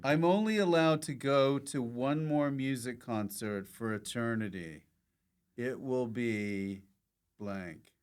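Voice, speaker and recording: A man's voice: medium pitch, slow pace, a little mellow, clear, resonant tone. A man hosting a casual podcast interview, chatting in short, dry interjections and questions. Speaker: podcast host.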